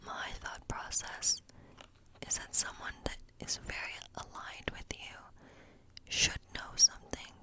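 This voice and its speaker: Female ASMR role player, fully whispered, gentle fortune-telling witch, unhurried and thoughtful. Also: rough recording. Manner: unhurried, musing, thinking aloud. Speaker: ASMR role-play performer as a tarot-reading witch. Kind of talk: whispered ASMR tarot reading role play.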